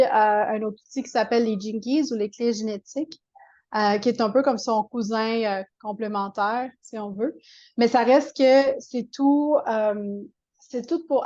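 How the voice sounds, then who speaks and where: A woman's voice: on the high side, a little mellow, lo-fi sound. A woman with a Québécois French accent, a coach talking as an interview guest in a chatty way, full of fillers and restarts. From Quebec. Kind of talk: casual interview talk.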